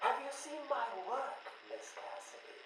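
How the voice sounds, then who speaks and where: Chilling serial killer on echoey tape. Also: male voice, very high voice, a little slow. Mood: creepy obsession. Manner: cold, menacing, contemptuous. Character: chilling, nihilistic male serial killer patient, coldly obsessed. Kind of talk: video game voice acting. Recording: very echoey, noisy interview tape.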